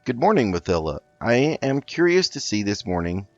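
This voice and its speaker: A man hosting a roleplay gaming video, talking in a measured, halting way as he plays and narrates. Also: medium pitch, big pitch swings, no echo, dull audio. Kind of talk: roleplay let's play commentary.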